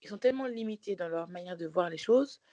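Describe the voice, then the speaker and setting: Low-voiced woman telling a story. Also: lively intonation, fast, warm tone, dry recording, highs cut off. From Belgium. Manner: rambling storytelling with many restarts and fillers. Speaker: podcast co-host. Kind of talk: casual chat.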